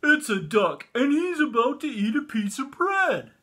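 An excitable, insistent storybook cloud-watcher, acted out by a man with an American accent. The voice is pitched high and swoops around, emphatic and full of exclamation. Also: measured pace, husky, full character voice. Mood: excited. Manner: exclamatory, emphatic, playful arguing.

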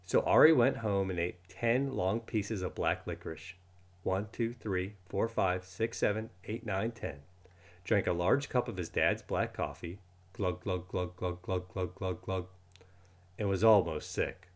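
A man reading a children's storybook aloud in an expressive manner, acting out the words as he goes. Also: deep voice, a little slow. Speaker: storybook reader. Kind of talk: children's book read-aloud.

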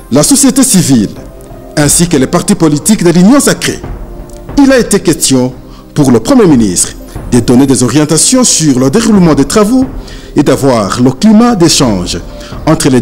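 Male news reporter, higher voice, animated delivery over light music. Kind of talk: scripted news narration.

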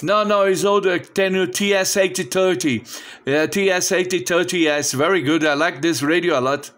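A Brazilian man with a slightly high voice works as a ham radio operator. He is friendly and casual, speaking non-fluent English with a Portuguese speaker's accent. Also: normal speed, a bit bright, a little hiss or hum. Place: indoor home radio station.

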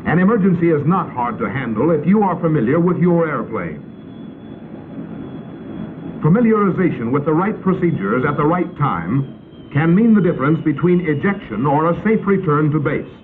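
An American man narrating a 1950s instructional film in a crisp, authoritative, instructional manner. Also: on the high side, very animated, moderate pace. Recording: tinny, noisy 1950s film soundtrack.

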